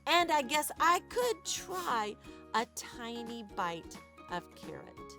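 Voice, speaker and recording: A woman's voice: big pitch swings, slow, bright, forceful tone, faint music behind, full character voice. A woman voicing a curious little boy bear cub in a storybook, childlike and questioning. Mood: resolved. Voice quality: childlike, high, exclamatory. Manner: wide-eyed, questioning, exaggerated surprise.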